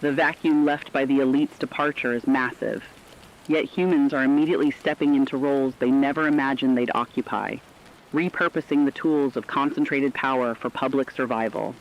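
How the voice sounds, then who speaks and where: A medium-pitched acted male voice of a sober field correspondent in an apocalyptic radio drama. He reports formally and earnestly, through a dull lo-fi radio sound. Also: fairly even intonation, brisk, close to an everyday voice. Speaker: news correspondent. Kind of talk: scripted dramatic news report.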